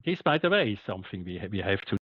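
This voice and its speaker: Swiss-accented man, halting and emphatic. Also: on the low side, sing-song, slightly rough, dry recording, dull audio. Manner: halting, repeats words, emphatic. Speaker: guest doctor. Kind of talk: podcast interview.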